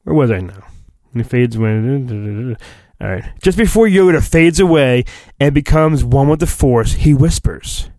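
Animated man giving a hammy comic reading. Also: medium pitch, measured pace, no room sound. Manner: hammy delivery broken by joking, profane asides. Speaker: podcast host. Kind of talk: comedic dramatic storybook reading.

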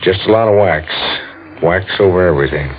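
Calm dry police sergeant, deep voice, tinny old radio show. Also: male voice. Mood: measured, matter-of-fact. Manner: measured, hesitant, dry. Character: calm, matter-of-fact male police sergeant. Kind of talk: 1940s radio drama acting. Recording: tinny old radio recording, faint noise.

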